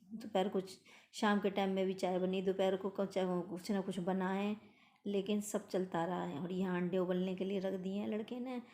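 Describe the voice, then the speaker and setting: A middle-aged woman with a hoarse voice, chatting homely to her viewers as she narrates her daily routine. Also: medium pitch, mellow. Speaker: vlogger.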